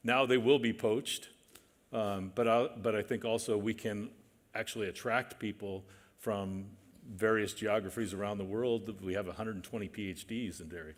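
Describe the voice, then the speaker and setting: Deep-voiced measured male panelist. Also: steady tone. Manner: measured, hesitant, many fillers. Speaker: panelist, manufacturing executive. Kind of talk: panel discussion. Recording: reverberant conference hall.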